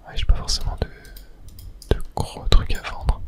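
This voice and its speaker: A gamer giving softly whispered ASMR commentary on a video game, with small clicky interjections. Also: normal speed. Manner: soft unvoiced whispering with small mouth-sound interjections. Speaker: gaming ASMR creator.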